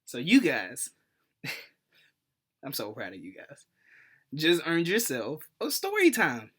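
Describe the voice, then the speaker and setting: A man in his twenties with an American accent, hosting a casual storytelling vlog in an animated, playful, slangy way. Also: fairly high pitch, takes it slowly, husky. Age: 20s. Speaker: YouTube host.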